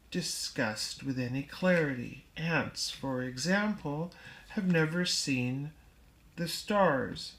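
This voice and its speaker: A woman reading a book aloud as scripted narration, in deliberate, measured phrases with pauses. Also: deep voice. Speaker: audiobook reader.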